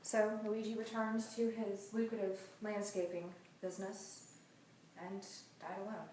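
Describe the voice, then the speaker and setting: A woman's voice: medium pitch, little pitch movement, measured pace, mellow. Echoey, distant woman telling a rambling story. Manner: rambling, conversational asides. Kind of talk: casual improvised comic storytelling. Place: echoey room, far from mic. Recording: echoey room, distant mic, faint noise.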